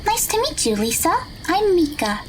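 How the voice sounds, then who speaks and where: A woman playing a gentle, polite teenage magical girl in an audio drama, speaking matter-of-factly. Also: very high-pitched, big pitch swings, moderate pace, a little hiss or hum. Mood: friendly.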